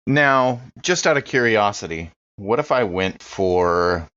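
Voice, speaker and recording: Brief: male tabletop player, deep voice, casual table talk, slightly muffled sound. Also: expressive, takes it slowly, a bit bright. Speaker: player in a tabletop game podcast. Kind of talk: casual game-table chat.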